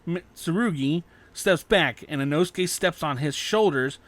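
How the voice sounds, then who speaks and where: Higher-voiced male audiobook narrator. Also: a little slow, a bit bright. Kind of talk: scripted fan fiction audiobook narration.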